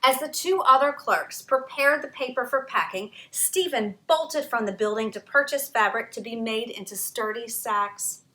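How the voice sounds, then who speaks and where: A woman reads a children's history story aloud with dramatic pauses and lively emphasis. Her voice is bright and a touch higher than most. The room around her rings with echo. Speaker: author reading her book aloud. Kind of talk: expressive storytime read-aloud.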